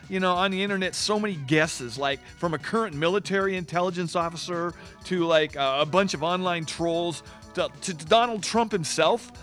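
An actor as an irreverent male host of a comedy radio show. His voice sits high for a man. He rambles haltingly and sounds defensive and exasperated, with faint music around him. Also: a bit bright. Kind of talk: comic radio banter.

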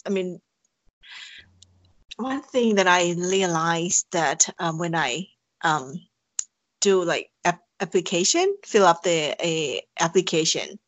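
A woman's voice: fairly low pitch, slow pace, no echo, highs cut off. A woman from Thailand, speaking English with a Thai accent, answering interview questions in a hesitant way with many fillers and restarts. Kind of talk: casual interview conversation.

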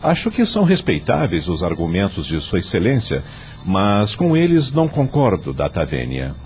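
Eloquent judge and mentor, Brazilian accent, radio drama, formal, very lively intonation, dull lo-fi recording. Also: male voice, low voice, moderate pace, slight background noise. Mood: solemn, impassioned. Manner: formal, oratorical, rhetorical questions. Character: eloquent male judge and mentor, devout, firmly principled. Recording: dull lo-fi audio, faint music.

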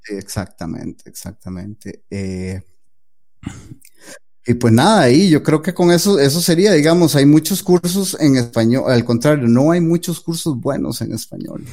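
A man's voice: medium pitch, lively intonation, normal speed, warm tone. A man answering as an interview guest, giving earnest advice with many hesitant "eh" fillers. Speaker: guest developer.

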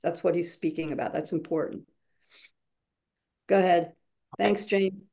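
A woman's voice: on the low side, steady tone, a little slow, gravelly, dark tone. A woman co-facilitating a workshop, explaining informally in a direct, emphatic way.

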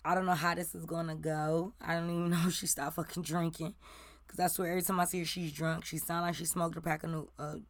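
A woman in her forties with a slightly raspy voice, hosting casual celebrity gossip commentary in a blunt, profane, ranting way. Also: lowish voice, flat delivery, fast talker, no echo.

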